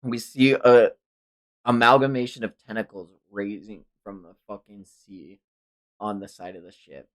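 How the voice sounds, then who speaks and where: A man's voice: on the low side, a little slow. A man hosting a livestream manga read-along, narrating dramatically and dropping into informal, sweary commentary. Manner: profane, informal asides. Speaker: livestream host and reader.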